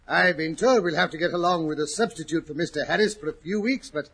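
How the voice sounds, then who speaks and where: An actor playing a genial, easily puzzled older English gentleman doctor in a 1940s radio drama, chatty and a little hesitant. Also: on the high side, quick pace. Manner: hesitant, chatty, old-fashioned exclamations. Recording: muffled, rough 1940s radio recording.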